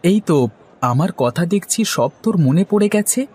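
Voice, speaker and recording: A man's voice: high-pitched, lively intonation, normal speed, darkish tone, a little hiss or hum. A man voicing a poor, hospitable village man in a cartoon, effusive and insistent. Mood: friendly, inviting. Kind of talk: Bengali cartoon story dialogue.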